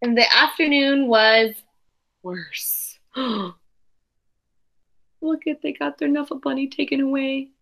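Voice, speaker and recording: A woman with a fairly high, bright voice reads a children's picture book aloud. She is expressive and teacherly, pausing the story to explain and ask questions as if to small children. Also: gravelly. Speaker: teacher reading aloud to young pupils.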